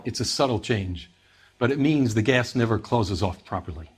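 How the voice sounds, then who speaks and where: A man's voice: fairly low pitch, animated, normal speed, husky. A man playing a defensive, harried heater company boss in a video game, talking in a matter-of-fact way. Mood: matter-of-fact, concerned. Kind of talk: video game dramatic acting.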